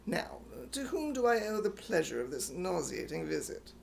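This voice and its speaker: A man voicing a suave, sly gentleman blackmailer with a mock-courteous manner and a put-on British accent, in radio comedy style. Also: sits high, sing-song, normal speed, creaky, a little hiss or hum, full character voice. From United States. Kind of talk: 1950s radio comedy acting.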